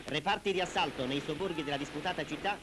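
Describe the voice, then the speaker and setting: A man's voice: fairly high pitch, fast, very noisy. A man giving formal, declamatory narration for a 1940s wartime propaganda newsreel, in a solemn manner. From Italy. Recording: vintage 1940s newsreel soundtrack, loud music. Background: loud music.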